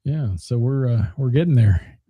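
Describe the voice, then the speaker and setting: A man's voice: mid-range voice, moderate pace, warm tone, slightly rough, no room sound. A man co-hosting a radio talk show, chatting casually and reading listener comments, joking and rambling while losing his voice.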